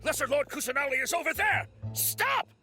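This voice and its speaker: A man's voice: extremely high pitch, lively intonation, a little fast, pushed and bright, faint music behind, exaggerated voice. A man voicing an aggressive, alarmed city guard in a game, urgent and indignant as he barks. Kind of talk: dramatic game voice acting.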